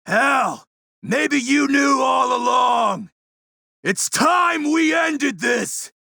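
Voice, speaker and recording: An actor playing a brutal, sneering warlord with a gravelly, rasping voice, throwing forceful combat taunts in a video game. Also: male voice, very high voice, expressive, extremely slow, dry recording, clean studio sound, heavily acted. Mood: savage, taunting.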